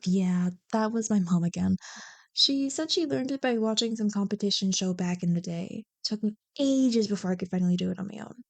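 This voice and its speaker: A woman's voice: mid-range voice, brisk, gravelly, no room sound, close to an everyday voice. A woman acting a playful, teasing young childhood friend in a casual, joking ASMR roleplay. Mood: chatty, explaining. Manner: bubbly, joking, playfully bantering. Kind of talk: ASMR roleplay, one-sided casual conversation.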